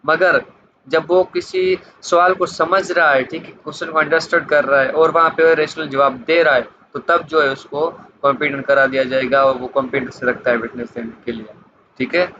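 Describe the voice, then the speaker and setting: A man's voice: on the high side, little pitch movement, normal speed, bright, forceful tone. A man giving an explanatory law lesson, reading statutes aloud and explaining them in a repetitive, question-and-answer way. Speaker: law lecturer. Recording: dull lo-fi mic with light background noise.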